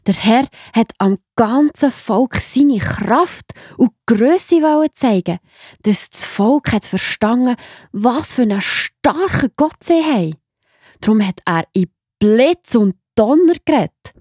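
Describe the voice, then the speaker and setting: A woman with a Swiss accent narrating Bible stories for children in an expressive, enthusiastic, simple way. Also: a little high, slow pace, slightly rough, no room sound, clean studio sound. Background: music behind the voice.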